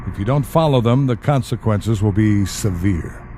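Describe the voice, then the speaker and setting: An actor in an audio play playing a stern, composed handler to a dead spy, with a measured, formal and dry delivery. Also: male voice, lowish voice, dark tone, a little hiss or hum, close to an everyday voice. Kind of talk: audio drama dialogue.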